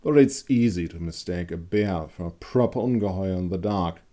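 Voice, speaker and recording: A storyteller plays a hardened older male monster hunter in a dark fantasy tale. His delivery is blunt and unflinching, with controlled contempt and a commanding edge. The voice is low. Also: normal speed, lightly acted. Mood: firm, menacing.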